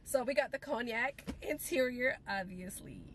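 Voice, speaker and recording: A woman's voice: on the high side, animated, normal speed, fairly bright tone. Chatty woman vlogger, noisy background. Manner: girly, informal, chatty asides to viewers. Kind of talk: casual chatty vlog.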